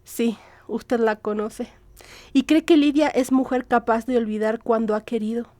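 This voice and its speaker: A woman voicing an aging, sickly mother who flatters and pleads in an audiobook drama, with ingratiating, dramatic exclamations. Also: on the high side, moderate pace, no room sound, lightly acted. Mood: coaxing. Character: aging, ailing, scheming mother, fawning and wheedling.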